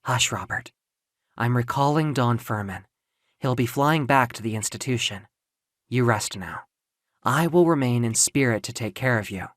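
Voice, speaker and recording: A man voicing the gentle ghost of a young woman in an audio comic, hushed and soothing, with music behind. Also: medium pitch, expressive, moderate pace, no echo, clean studio sound, close to an everyday voice. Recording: music under the voice.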